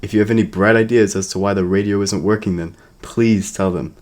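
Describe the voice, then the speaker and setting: A man playing a gruff, sardonic mercenary radio operator in a sci-fi audio drama, with a matter-of-fact delivery. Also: fairly low pitch, steady tone, fairly quick, darkish tone, close to an everyday voice. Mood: irritated.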